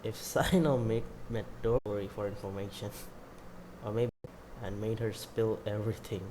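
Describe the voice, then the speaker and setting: A man's voice: fairly low pitch, flat delivery, normal speed. A man giving casual commentary as he streams his gameplay. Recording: very noisy mic with clicks.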